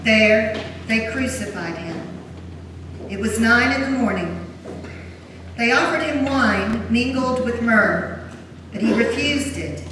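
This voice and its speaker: A woman reading scripture as narrator in a solemn dramatic style in a church. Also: a little high. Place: large church during a service. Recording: very echoey church, distant, faint noise.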